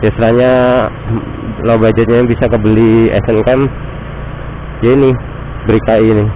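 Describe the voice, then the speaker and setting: A man's voice: fairly low pitch, muffled recording. A man with a stuffy, nasal voice from a cold, chatting casually as he vlogs while riding a motorbike through city traffic. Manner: relaxed, chatty, unhurried. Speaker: motovlogger. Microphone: action camera mic.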